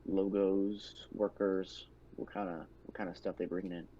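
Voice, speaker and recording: A man casually talking through his turn in a tabletop roleplaying game, on a low-fidelity mic. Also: little pitch movement, moderate pace, mellow, husky. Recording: dull, noisy low-fidelity voice-chat mic.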